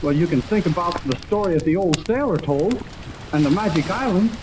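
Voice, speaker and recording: An actor playing an earnest, excitable young American radio operator in a 1930s radio serial. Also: male voice, sits high, fairly quick. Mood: excited. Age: young adult. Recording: noisy old radio recording, steady crackling hiss.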